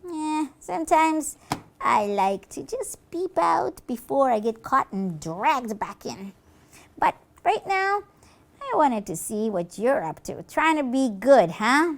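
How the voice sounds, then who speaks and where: A woman's voice: high-pitched, very animated, takes it slowly, creaky, full character voice. A woman voicing a cocky, taunting little devil minion in a puppet show, mocking and boastful. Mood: sly, teasing. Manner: mocking, dismissive, gleefully boastful.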